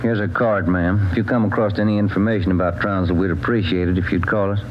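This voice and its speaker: An actor with an American accent playing a plain-spoken, courteous, matter-of-fact police sergeant in a 1940s radio crime drama. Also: male voice, low voice, steady tone, quick pace, close to an everyday voice. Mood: polite, questioning. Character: plain-spoken male police sergeant, steady, polite partner. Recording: muffled, noisy 1940s radio recording.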